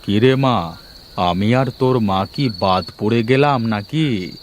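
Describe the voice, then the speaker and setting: A man's voice: fairly low pitch, slow pace, heavy background noise. A man playing a genial, chatty middle-aged village father or neighbour in a cartoon. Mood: teasing, fond. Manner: chatty, questioning. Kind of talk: animated cartoon story acting.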